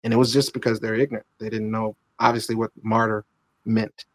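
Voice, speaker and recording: A man in his late thirties talking reflectively in a conversation, thoughtful and halting, with frequent restarts and filler phrases. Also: fairly low pitch, little pitch movement. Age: 30s.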